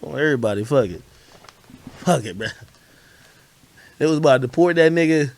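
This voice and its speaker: A man chatting casually on a livestream as host, telling stories in an animated, slangy way and swearing freely. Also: on the high side, fairly quick. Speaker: livestream host commenting on hip hop. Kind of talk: casual livestream chat, storytelling.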